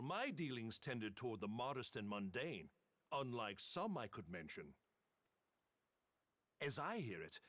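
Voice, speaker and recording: A man's voice: medium pitch, a bit bright, no room sound. A male actor playing a hearty, wry adventurer in a video game, teasing with theatrical emphasis. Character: hearty adventurer, old friend and rival of a general.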